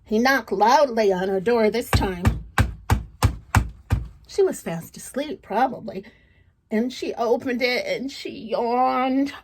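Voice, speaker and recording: A woman tells a children's story in a fairly high voice. She takes it very slowly and reads with playful drama, as if drawing young listeners in with questions. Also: a little mellow, husky. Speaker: storybook reader. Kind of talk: expressive children's read-aloud storytelling.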